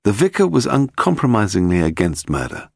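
A British man narrating a detective novel in a measured, literary storytelling manner. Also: fairly low pitch, huge pitch swings, gravelly, husky, no echo, clean studio sound. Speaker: audiobook narrator.

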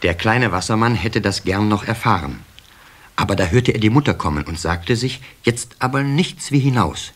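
A man narrating a scripted children's story in an expressive storytelling manner. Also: lowish voice, normal speed. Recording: cassette recording with faint hiss.

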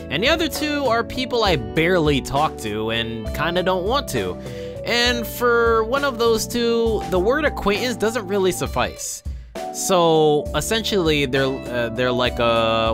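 A young man doing casual gameplay commentary, reading story text aloud and reacting informally. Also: a little high. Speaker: let's player. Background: game music.

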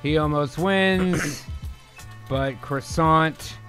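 A man in his thirties, excitable and sweary, hosting casually and reading scripts aloud as a dramatic narrator. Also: a little high, drawn-out words. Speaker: host of an improv movie-writing show. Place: home room, video call. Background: music.

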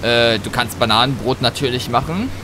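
A man's voice: mid-range voice, normal speed, noisy background. A man giving casual, rambling gameplay commentary with jokey asides. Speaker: let's play host. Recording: music and rain behind voice. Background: game music, rain and thunder.

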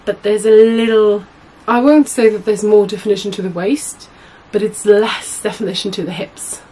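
Young woman, husky, hoarse from a cold, rambling casual chat, mid pitch, small home room, camera mic. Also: fairly even intonation, normal speed, warm tone, rough recording. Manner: chatty, rambling, many fillers and self-corrections. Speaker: sewing vlogger.

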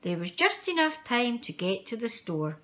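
Woman reading a children's story, very lively pitch. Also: moderate pace. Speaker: storyteller reading a children's picture book aloud. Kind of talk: expressive read-aloud children's story narration.

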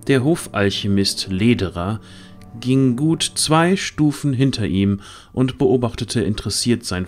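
A man giving scripted story narration for a fantasy audio drama. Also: lowish voice.

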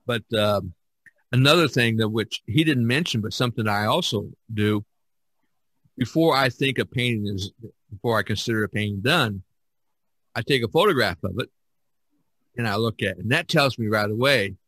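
Older man, podcast host, bright tone, dry sound, casual, rambling explanations. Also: fairly low pitch, normal speed, long pauses, no room sound.

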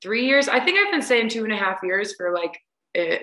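A woman's voice: medium pitch, big pitch swings, normal speed, bright, forceful tone. A young adult woman, a singer guest, chatting hesitantly with many restarts over a video call. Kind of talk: casual interview chat. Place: large room at home on video call.

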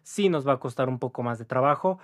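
A man's voice: medium pitch, fast talker, fairly bright tone. A young man in his twenties with a Mexican accent, an English teacher giving a casual video lesson to camera, chatty and upbeat, often checking in with the viewer by tagging his sentences with little questions. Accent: Mexican Spanish. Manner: chatty, upbeat, frequent "¿va?" and "¿vale?" tag questions. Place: indoor room with a patterned wall.

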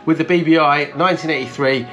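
A man's voice: a little high, fairly even intonation, fast, fairly bright tone, lo-fi sound, soft background music. A man in his thirties hosting a casual restoration vlog, explaining things step by step in a hands-on way. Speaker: host of a car restoration channel. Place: bright plant-filled conservatory at a table.

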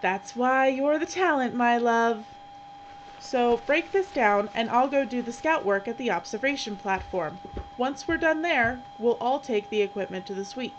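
An actress playing a shrewd, bossy documentary crew leader with a brisk, businesslike manner in an audio drama. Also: female voice, fairly high pitch, expressive, close to an everyday voice. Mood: shrewd, commanding. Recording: dull, noisy audio.

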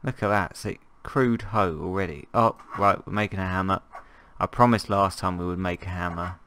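Croaky low-voiced man with a cold. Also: normal speed, a bit bright, slight background noise. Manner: rambling, jokey, thinking aloud. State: hoarse from a cold. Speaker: gameplay commentator. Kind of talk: casual gameplay commentary.

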